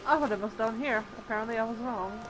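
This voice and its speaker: A woman's voice: a little high, quick pace, very noisy. A woman giving casual, offhand gameplay commentary with plenty of swearing. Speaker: gaming video host.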